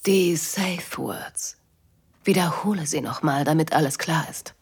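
A woman playing a calm, strict dominatrix in an intimate audio drama, teasing and commanding in a controlled, breathy voice. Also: low voice, measured pace, heavily acted. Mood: stern, commanding.

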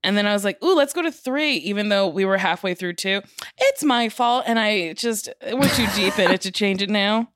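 A woman's voice: medium pitch, expressive, moderate pace, fairly bright tone, no room sound, clean studio sound. A woman co-hosting a podcast in casual comedic banter, speaking in a playful, emphatic way. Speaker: podcast co-host.

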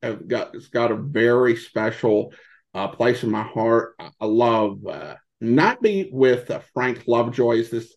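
Guy guesting on a podcast, kinda halting casual chat, mid pitch, muffly lofi sound. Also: gravelly, muffled recording. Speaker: podcast guest, old-time radio podcaster. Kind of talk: casual interview talk.

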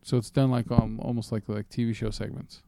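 A man's voice: medium pitch, level tone, a little fast, gravelly, mellow, no echo. A man co-hosting a casual film news chat, talking in an informal, profane, rambling way. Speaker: movie podcast co-host.

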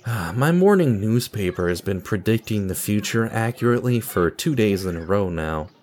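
A man acts out an awkward, burnt-out office worker in a radio play. His pitch moves around a lot as he confides earnestly and hesitantly, quick to apologize. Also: lively intonation, darkish tone, lightly acted. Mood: awkward, glum. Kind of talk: audio drama acting.

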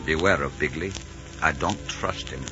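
An actor playing a bold, ardent Scottish lord in a theatrical, romantic 1940s radio drama style. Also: male voice, rumbling low, moderate pace, music in the background. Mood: tender. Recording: dull 1940s radio broadcast recording.

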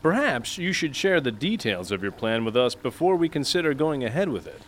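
A man's voice: medium pitch, sing-song, a little fast, a little hiss or hum, lightly acted. A man playing an earnest, self-important heroic young man with a formal, declamatory manner in a comic audio drama. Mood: earnest, doubtful. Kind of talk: audio drama comedy acting.

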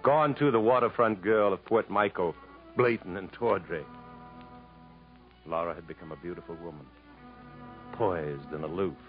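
A man's voice: low voice, moderate pace, pauses often, natural voice. An actor playing a guarded, protective jungle traveler who tells his own story in 1950s radio style. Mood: brooding narration. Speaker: narrator and lead actor in a radio drama. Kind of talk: 1950s American radio acting. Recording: dull vintage radio recording with music.

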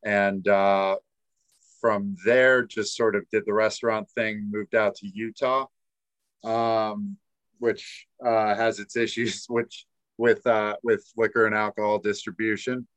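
Low flat-voiced man, laid-back. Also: no room sound. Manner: laid-back, halting with fillers. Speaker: guest, restaurant wine buyer. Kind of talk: casual interview chat.

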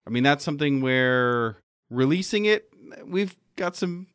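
A man's voice: a little high, lively intonation, measured pace, fairly bright tone, clean tone, dry recording, clean studio sound. A man chatting casually as a game keeper on a podcast, explaining things in a hesitant, rambling way. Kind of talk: casual chat.

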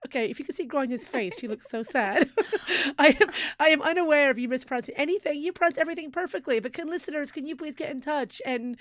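A woman's voice: high-pitched, fast talker, a bit bright, dry recording, clean studio sound. A woman co-hosting a podcast, chatting bluntly and opinionatedly, laughing often. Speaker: podcast co-host. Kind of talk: casual chat.

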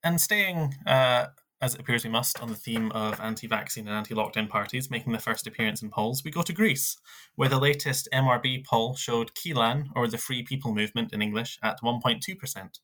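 A man co-hosting a scripted news roundup, reading headlines in a measured way with small asides. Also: mid-range voice, pushed and bright. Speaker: news podcast co-host.

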